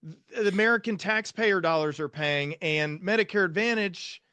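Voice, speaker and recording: A young man hosts a casual interview, talking in a storytelling way and acting out his own past thoughts. His voice sits fairly high for a man. The sound is dry, with no room echo. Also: moderate pace, pushed and bright, smooth. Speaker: psychiatrist host.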